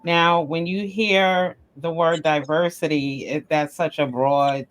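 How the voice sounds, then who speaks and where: A woman's voice: deep voice, fairly even intonation, takes it slowly. A woman hosting a podcast interview asks her questions in an enthusiastic, effusive way. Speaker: podcast host.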